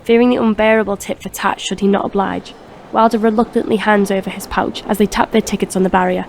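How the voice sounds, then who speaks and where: A woman's voice: medium pitch, steady tone, fast, gravelly, darkish tone, slight background noise. A woman reading scripted narration for a crime audio drama.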